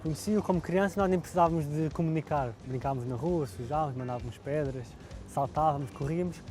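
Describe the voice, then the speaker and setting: Male friend, European Portuguese accent, somewhat high voice, fast and fond, music behind. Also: a little mellow. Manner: fond, reminiscing. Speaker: childhood friend. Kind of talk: interview recollection.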